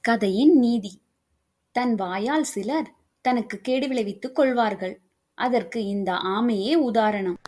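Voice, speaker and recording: High-voiced woman narrating children's stories. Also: unhurried, darkish tone. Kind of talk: scripted storybook narration.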